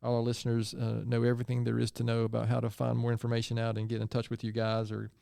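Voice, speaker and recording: Man, podcast host, gravelly voice, dry close sound, friendly, chatty. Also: mid-range voice, monotone, quick pace, warm tone, no echo. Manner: friendly, chatty, rambling asides. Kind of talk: casual interview chat.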